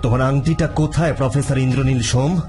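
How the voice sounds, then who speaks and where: A man acting as a sharp, driven, commanding private detective in a Bengali audio drama, speaking emphatically. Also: medium pitch, steady tone, quick pace, soft background music, lightly acted. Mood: triumphant, stern.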